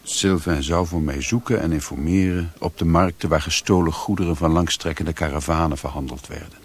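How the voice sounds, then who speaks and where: A man's voice: rumbling low, steady tone, fairly quick, husky, exaggerated voice. An actor playing a sombre man who recounts his grim adventures in literary radio drama narration.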